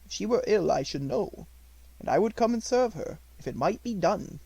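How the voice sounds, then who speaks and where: A devoted Indian manservant from a children's storybook, acted out by a male reader. He is respectful and watchful, with formal, poetic phrasing. The voice is raised and melodic, with a little creak, recorded dry. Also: high voice, animated, normal speed, mellow, no echo, voice pushed up, exaggerated voice, poor audio. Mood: reverent, tender.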